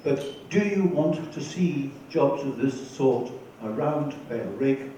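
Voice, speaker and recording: A man in the audience asking a question at a debate, speaking deliberately. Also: medium pitch, expressive, mellow. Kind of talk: question from the floor. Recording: echoey hall, far from mic, faint noise.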